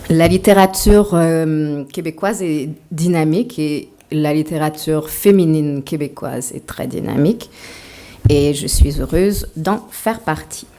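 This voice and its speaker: A woman's voice: low voice, unhurried, mellow. A woman author giving a talk to an audience, mixing a prepared lecture with playful, self-mocking asides.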